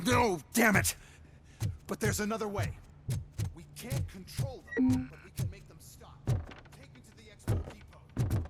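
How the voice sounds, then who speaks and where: A man's voice: sits high, sing-song, unhurried, long pauses, over music, heavily acted. An actor playing a brash, irritable robot salesman in a video game, sarcastic, dismissive and impatient. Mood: agitated, urgent.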